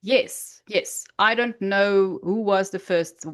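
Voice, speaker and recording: A woman from South Africa with an Afrikaans accent, a podcast guest telling her life story haltingly, with many restarts. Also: mid-range voice. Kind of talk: personal story in an interview.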